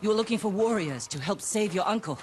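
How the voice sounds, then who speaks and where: A woman's voice: mid-range voice, lively intonation, fairly quick, a little mellow, slight background noise, close to an everyday voice. A woman plays a practical commoner in a game cutscene. She sounds guarded and sceptical, with dry understatement, and faint background ambience sits behind her. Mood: dry scepticism. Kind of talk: video game cinematic acting.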